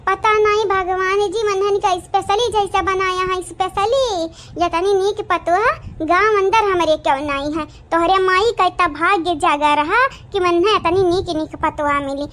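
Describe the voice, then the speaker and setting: An actor with an Awadhi accent voicing a chattering, dramatic village woman in a comic cartoon, in a childlike squeaky voice. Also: pushed and bright.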